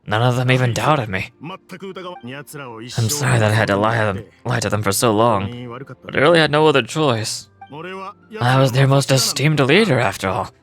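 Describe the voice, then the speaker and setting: A man performs a rueful older father and desert chief from a video game. His mid-pitched voice is boastful yet wistful and tender, with sighs. Clear music plays behind him. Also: animated, moderate pace, pauses often, natural voice. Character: doting older male desert gang leader, a rueful adoptive father. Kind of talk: video game character monologue reading a letter.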